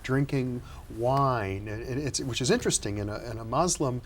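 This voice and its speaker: Calm guy hosting a tv interview, measured, faint hiss behind. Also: mid-range voice. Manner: calm, measured, frequent affirming murmurs. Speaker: host, psychologist. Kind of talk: television interview. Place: television studio.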